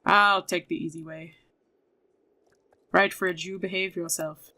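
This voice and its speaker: A woman's voice: lowish voice, steady tone, unhurried, sharp tone. A woman giving casual, playful gameplay commentary, often chatting to the characters on screen as she plays. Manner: playful, talks to game characters. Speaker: video game streamer. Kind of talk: casual gameplay commentary and reading out game dialogue. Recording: music behind the voice. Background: game music.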